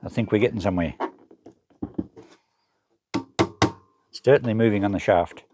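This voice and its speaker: An Australian man giving casual hands-on commentary, thinking aloud and explaining things in an unhurried way as he works. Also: on the low side, background noise. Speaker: presenter of a vintage electronics salvage channel.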